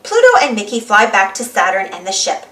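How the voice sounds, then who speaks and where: A woman narrating a children's storybook in an animated read-aloud style. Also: mid-range voice, fairly quick, distant mic. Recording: roomy, voice away from microphone.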